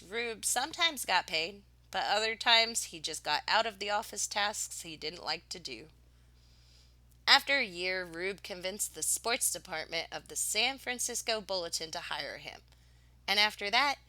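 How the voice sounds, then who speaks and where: A woman reading a picture book aloud like a teacher, expressively, with dramatic pauses and emphasis on key words. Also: mid-range voice, bright, forceful tone, no echo.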